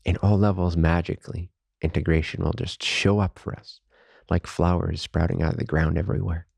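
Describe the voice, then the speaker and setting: A man leading a guided meditation as a teacher, speaking softly, slowly and calmly in reverent phrases with long pauses. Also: low voice, gravelly, husky, no echo. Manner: calm, unhurried, reverent spiritual phrasing.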